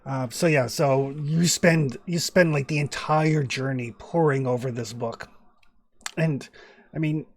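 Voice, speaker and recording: A man's voice: fairly high pitch, fairly even intonation, a little slow. A man acting as game master for a tabletop horror campaign, talking through rules and story in a loose, chatty way full of fillers and restarts over a home microphone.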